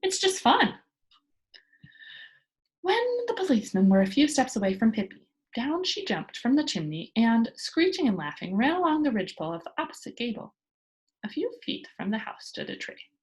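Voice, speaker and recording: A woman reading a children's story aloud in an expressive storytelling style. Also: on the high side, a little fast, breathy edge, poor audio. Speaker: children's book reader.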